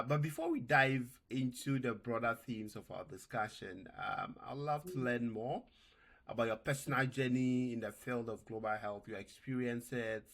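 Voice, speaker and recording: A man hosting a podcast, reading a prepared introduction and questions slowly and deliberately, with frequent fillers. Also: mid-range voice, a bit bright. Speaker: podcast host.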